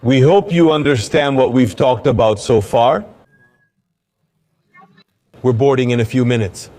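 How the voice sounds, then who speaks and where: A man's voice: mid-range voice, a little slow. A man chatting casually as a travel vlogger, a bit congested, talking into a noise-cancelling clip-on mic in a busy airport. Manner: relaxed, chatty, explaining as he goes. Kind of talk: casual travel vlog chat. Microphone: wireless clip-on mic with noise cancelling.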